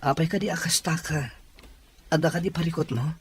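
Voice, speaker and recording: A man's voice: on the high side, fairly quick, a little hiss or hum, natural voice. A man with an Ilocano Filipino accent playing an anxious, earnest young boyfriend in a radio drama.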